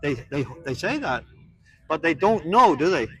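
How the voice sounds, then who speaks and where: A man's voice: a little high, big pitch swings, normal speed, bright, forceful tone, breathy edge, rough recording. A man preaching to passers-by on a street, earnest and pressing them with questions. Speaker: street evangelist. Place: outdoors on a town street.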